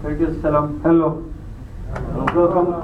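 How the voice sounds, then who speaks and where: A man's voice: a little high, level tone, a little slow, narrow, tinny audio. A man from Turkey, a boxer, speaking brief apologetic broken English at a press conference. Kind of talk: post-fight press conference remarks. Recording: echoey hall, distant mic, faint noise.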